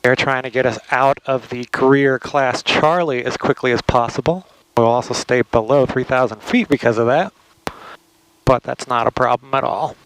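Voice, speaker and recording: A man's voice: medium pitch, normal speed, fairly bright tone, smooth, no room sound, low-quality recording. American man narrating from a small plane cockpit. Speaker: private pilot narrating his flight. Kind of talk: casual cockpit commentary and radio calls. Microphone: aviation headset audio. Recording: cockpit audio with engine noise. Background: engine drone.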